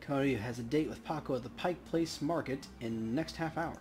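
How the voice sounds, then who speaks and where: A man's voice: medium pitch, moderate pace, darkish tone. Laid-back slangy man playing a video game. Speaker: let's play player and co-host. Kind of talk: casual gamer chat.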